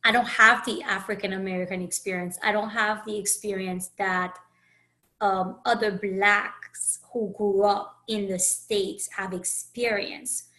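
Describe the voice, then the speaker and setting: A woman who grew up in Haiti, hosting her own podcast, talking through her thoughts in an earnest, searching way with many restarts and pauses. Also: medium pitch, fairly even intonation, sharp tone, rough recording. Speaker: podcast host and coach. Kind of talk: reflective solo podcast monologue.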